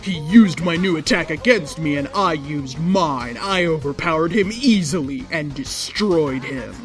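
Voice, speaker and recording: Woman doing dramatic story narration, lowish voice, music behind her. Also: lively intonation, a little slow. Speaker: fanfiction reader. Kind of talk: dramatic storytelling read-aloud. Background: background music.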